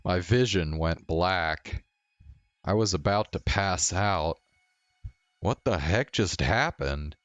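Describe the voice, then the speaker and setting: A man's voice: low-pitched, lively intonation, takes it slowly, no room sound, lo-fi sound. A man reading a visual novel aloud as a streamer.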